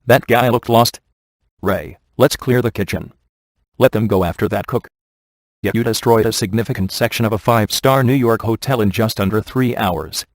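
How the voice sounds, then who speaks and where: Smug, sarcastic male ghost hunter played by a male actor for a comedy video game; deadpan wisecracks, very dry studio sound. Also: fairly low pitch, normal speed, no echo, lightly acted.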